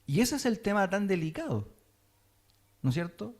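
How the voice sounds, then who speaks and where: A man's voice: high-pitched, very animated, moderate pace. A man teaching a sermon, often checking in with his listeners. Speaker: teacher of a Messianic congregation.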